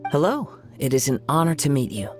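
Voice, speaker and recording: A man playing a calm, courteous young wandering poet with a soft-spoken, modest manner in a video game. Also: on the high side, brisk. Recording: music playing behind the voice.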